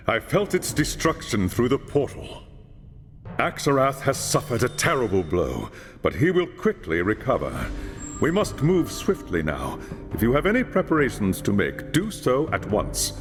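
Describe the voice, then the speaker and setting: An actor playing a solemn, commanding older male mentor who briefs a hero in a fantasy video game, speaking gravely. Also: on the low side, wildly swooping pitch, moderate pace, big-room sound, faint music behind.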